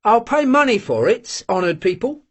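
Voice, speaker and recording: A man voicing a cheerful, boastful young male servant who talks good-naturedly and proudly, in a husky voice. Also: high-pitched, sing-song, moderate pace, pitched above the performer's own voice, heavily acted.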